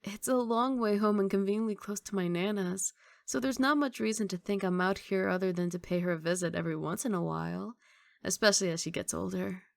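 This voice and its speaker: A woman playing a guarded but tender mafia boss girlfriend in an intimate ASMR roleplay, speaking softly and in a measured, wistful way. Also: medium pitch, vocal fry, dry recording, studio quality, close to an everyday voice. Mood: guarded, matter-of-fact.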